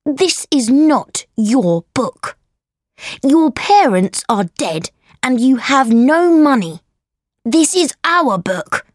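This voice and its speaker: Spoilt fourteen-year-old storybook bully played by a woman: high, animated, deliberately paced, taunting and bossy. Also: no echo, studio quality, full character voice. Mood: haughty, threatening. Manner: commanding, taunting. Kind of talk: audiobook character voice.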